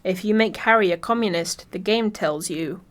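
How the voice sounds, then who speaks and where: A woman's voice: mid-range voice, normal speed. A woman reading scripted video essay narration in a wry, informal way.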